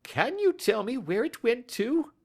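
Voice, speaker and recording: A frightened, servile little male crook in an audiobook. The voice is cracked and pitched very high, swooping as he pleads and grovels. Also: very animated, unhurried, vocal fry, exaggerated voice. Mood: nervous, agitated. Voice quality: cracked, shrill voice. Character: cringing, terrified small male thief, pleading for mercy. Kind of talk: audiobook character voice.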